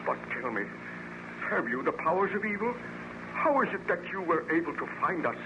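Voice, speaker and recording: An actor playing a tormented, vengeful scientist with earnest, formal melodrama in an old-time radio horror serial. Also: male voice, high voice, sing-song, fairly quick, dull audio. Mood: defiant, hateful. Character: anguished, vengeful male scientist, tormented by his creation. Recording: noisy, band-limited old radio recording.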